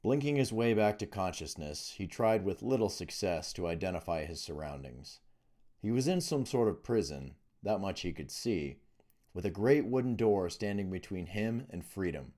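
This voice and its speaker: A man narrates a fantasy novel as its author, reading from the written text. His voice sits low, with a dark, soft-edged timbre. The delivery is that of scripted audiobook storytelling. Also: lively intonation, moderate pace. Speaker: author reading own book.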